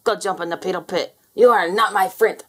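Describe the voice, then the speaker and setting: A woman voicing an excitable, indignant little alien monster from a storybook, exclaiming and quick to quarrel. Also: mid-range voice, slightly rough. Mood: angry. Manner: exclaiming, squabbling.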